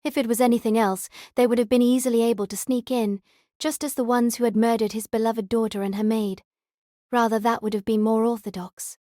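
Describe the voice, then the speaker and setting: A woman's voice: on the high side, fairly even intonation, a little fast, dark tone, dry recording, clean studio sound. A woman reading scripted story narration as an audiobook narrator.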